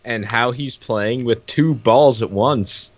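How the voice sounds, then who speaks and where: A man's voice: medium pitch, slow pace, no room sound, highs cut off. A man co-hosting casual comedic banter, blunt, foul-mouthed and exasperated as he reacts. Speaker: co-host reacting to a comic reading.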